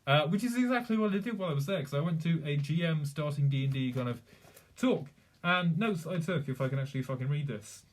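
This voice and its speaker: A man's voice: fairly high pitch, expressive, fast talker. A young man chatting casually on a live stream, rambling, swearing freely and laughing often. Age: young adult.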